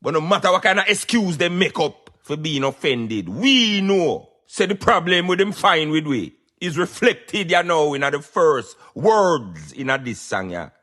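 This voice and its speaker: A man's voice: fairly high pitch, very animated, a little slow, few pauses, sharp tone. A Jamaican man hosting a talk radio show, giving emphatic, preaching commentary in Patois.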